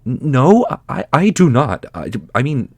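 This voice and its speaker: Man as young male protector, higher voice, boyish, loyal, eager, stammering, flustered, fantasy roleplay. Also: sing-song, moderate pace, darkish tone, no echo. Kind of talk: ASMR fantasy roleplay acting.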